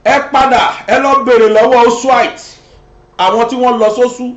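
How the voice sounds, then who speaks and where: A Nigerian man with a Yoruba accent, delivering an animated, mocking political rant that switches between English and Yoruba. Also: very high-pitched, quick pace. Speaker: commentator. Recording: roomy, dull lo-fi sound.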